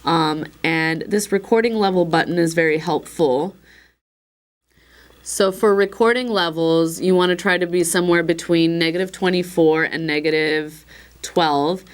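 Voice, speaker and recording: Slightly hoarse woman with a lowish voice, informal how-to demo presenter. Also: fairly even intonation, normal speed. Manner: chatty and informal, with many fillers and wry asides. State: a little hoarse. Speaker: instructor demonstrating a recorder. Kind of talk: casual product demo.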